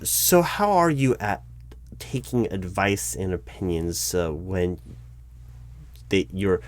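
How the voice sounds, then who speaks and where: A man playing a patient, probing hiring manager in a one-sided interview roleplay, speaking aloud in a halting, improvised way and repeating words. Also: sits low. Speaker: job interviewer. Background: computer keyboard typing.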